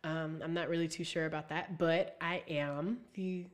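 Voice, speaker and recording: A young woman from Ohio, a singer chatting as a guest in an interview, animated and full of "like" and "um" fillers. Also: low-pitched, moderate pace. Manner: animated, many fillers like "like" and "um", laughs easily. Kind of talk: casual interview chat.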